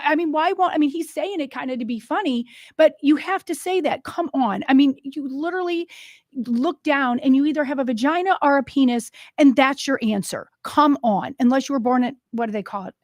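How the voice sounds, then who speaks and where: A woman's voice: sits high, brisk, no echo, clean studio sound. A woman co-hosting a political talk podcast, chiming in with agreement and adding her own points. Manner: agreeable, chimes in with frequent affirmations. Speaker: podcast co-host. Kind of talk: political talk show chat.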